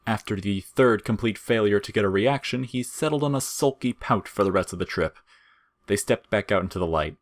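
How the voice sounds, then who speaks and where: A man with a low voice reads a scripted story as its narrator. The delivery is that of audio fiction storytelling. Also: a little fast.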